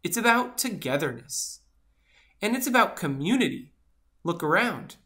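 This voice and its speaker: A woman doing an earnest pumpkin seed, polite, encouraging, bright tone, lively intonation, children's storybook. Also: on the low side, moderate pace. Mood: earnest, persuading. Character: kind, earnest, polite pumpkin seed offering gentle advice. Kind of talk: animated children's storybook read-aloud.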